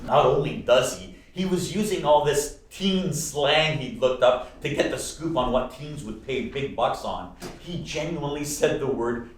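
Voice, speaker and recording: Man as animated, mocking nephew, echoey room. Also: medium pitch, measured pace, lightly acted. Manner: animated, incredulous. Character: amused, mocking nephew gossiping among friends. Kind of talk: radio play acting. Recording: distant, very echoey room, faint noise.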